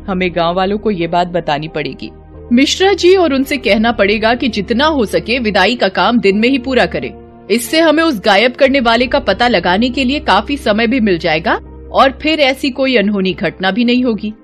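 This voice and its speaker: A woman voicing a confident, authoritative female police inspector in a cartoon story, brisk and commanding. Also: on the high side, animated, soft background music, close to an everyday voice.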